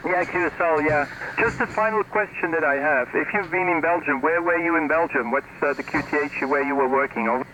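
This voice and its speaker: A man's voice: fairly high pitch, a little fast, few pauses, pushed and bright, muffled recording. A man chatting casually and conversationally as an amateur radio operator, his voice received over the radio. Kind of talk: casual ham radio chat. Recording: noisy amateur radio reception.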